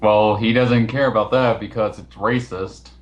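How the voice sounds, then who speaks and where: A man's voice: on the low side, fairly even intonation, normal speed. A man hosting a casual online voice chat, talking in an informal, matter-of-fact way. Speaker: host of the recorded chat. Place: online voice call.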